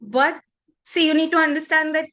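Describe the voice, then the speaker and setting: A woman's voice: sits high, steady tone, moderate pace, sharp tone. A woman around thirty, a native Punjabi speaker from northern India, talking earnestly and reflectively as a podcast guest. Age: 30s. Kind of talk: casual interview conversation.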